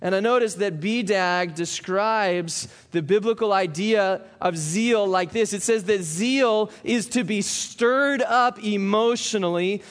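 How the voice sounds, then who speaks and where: Guy pastor preaching, kinda high voice, reads scripture slow then chats with the congregation. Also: a bit bright. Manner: slows down for scripture reading, conversational asides. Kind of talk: sermon.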